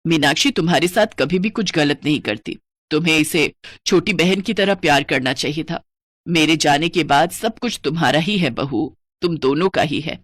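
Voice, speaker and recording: A woman's voice: low voice, normal speed, no room sound, clean studio sound, voice pushed down. A woman voicing a stern, matronly old mother-in-law in a moral story, admonishing her daughters-in-law. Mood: remorseful, advising.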